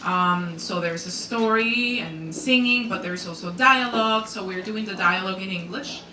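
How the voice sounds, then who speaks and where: A Venezuelan-accented soprano, a native Spanish speaker, talking casually and self-deprecatingly before singing at a small salon. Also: female voice, medium pitch, moderate pace, bright, forceful tone. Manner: chatty, self-deprecating, frequent ums. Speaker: guest soprano. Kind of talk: casual onstage introduction. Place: small salon performance venue. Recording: roomy, distant, noisy live room.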